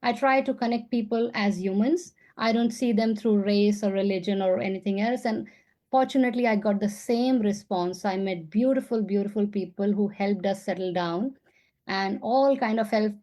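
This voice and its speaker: A woman from India talks as a podcast guest, earnest and warm, with frequent small fillers. Her voice is mellow and dark in tone. Her pitch sits in an ordinary middle range. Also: normal speed. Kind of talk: casual interview talk.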